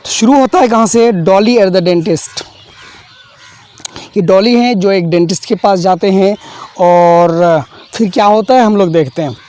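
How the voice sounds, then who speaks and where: A man's voice: sits high, measured pace, darkish tone. A young man teaching a textbook play, explaining each phrase, repeating himself and adding fillers.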